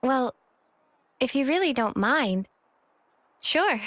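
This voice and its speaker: A woman's voice: sits high, lively intonation, slow pace, a bit bright, no room sound, heavily acted. A woman voicing a shy, self-effacing young female soldier in a video game, hesitant and apologetic. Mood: shy, sincere. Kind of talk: video game cutscene voice acting. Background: background music.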